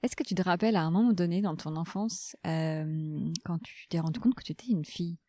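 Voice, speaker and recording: A woman's voice: lowish voice, a little fast, mellow, dry recording, clean studio sound. A woman hosting a podcast, moving between a scripted introduction and casual interview talk.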